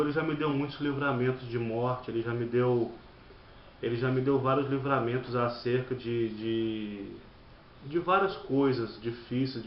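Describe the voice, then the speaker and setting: Halting man giving a church testimony. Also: medium pitch, slight background noise. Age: 30s. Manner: halting, repeats words and restarts phrases. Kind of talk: personal testimony. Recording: dull lo-fi audio in an echoey room.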